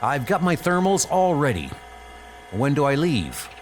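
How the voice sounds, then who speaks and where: A man's voice: on the high side, very animated, measured pace, slight background noise, voice pushed up. A man voicing a youthful, cocky sidekick hero and pilot in a comic book dub, chipper and wisecracking. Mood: eager. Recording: loud music behind.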